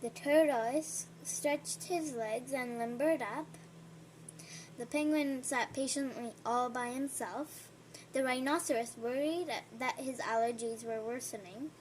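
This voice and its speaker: Woman, high and light voice, unhurried storybook reader who breaks off to chat with the listener. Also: a little hiss or hum, poor audio. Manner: slow, gentle reading with chatty asides to the listener. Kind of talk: reading a picture book aloud.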